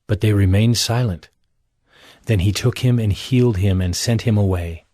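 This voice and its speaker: A man's voice: lowish voice, moderate pace, mellow, no room sound, clean studio sound. A man narrating scripture from a script for an audio drama.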